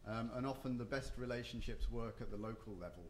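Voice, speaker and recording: A man's voice: medium pitch, fast, roomy sound, slight background noise. A man giving a conference panel talk, hesitant, with frequent ums and restarts. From not Japan or the US. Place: hotel conference room.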